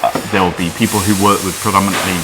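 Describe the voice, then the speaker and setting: A man with a very low voice, chatting casually about his coffee business. He speaks in a dry, wry way and often stops and restarts. Clear room noise sits behind him. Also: steady tone, racing. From Wales. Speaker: coffee roaster and business owner. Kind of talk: casual interview chat. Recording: noisy room ambience.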